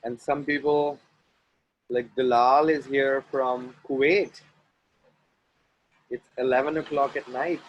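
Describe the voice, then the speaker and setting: An unhurried man with an Indian accent at a middling pitch leads an online group session over a video call. He repeats his phrases slowly and deliberately, almost like a chant, sitting outdoors by the sea. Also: steady tone, muffled recording. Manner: slow, deliberate, chant-like repetition. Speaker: workshop facilitator hosting an online call. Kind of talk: guided group facilitation with repeated clearing phrases. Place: outdoors near the ocean.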